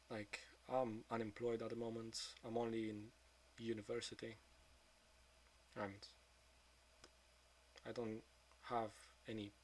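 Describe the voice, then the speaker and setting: A man of twenty-two speaking in a frank, subdued confessional monologue, swearing often and trailing off into quieter mutters. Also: on the low side, a little hiss or hum, rough recording. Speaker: vlogger.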